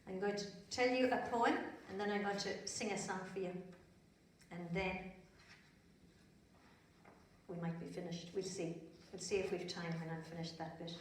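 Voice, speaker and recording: A woman's voice: lowish voice, fairly even intonation, moderate pace, long pauses. An Irish-accented woman telling stories to young children in simple, repetitive phrases with chatty asides. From Ireland. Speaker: children's storyteller. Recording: very echoey room, distant mic, faint noise.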